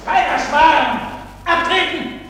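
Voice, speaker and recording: An actor in a German radio drama playing a military drill officer, shrieking out barked commands. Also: male voice, very high-pitched, takes it slowly. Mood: barking. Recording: distant, noisy, heavily echoing hall.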